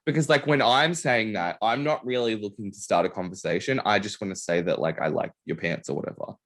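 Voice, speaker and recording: A man's voice: on the low side, brisk. A young man hosting a podcast, chatting casually and telling stories full of "like" fillers. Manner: animated storytelling, many "like" fillers. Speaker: podcast host. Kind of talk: casual chat.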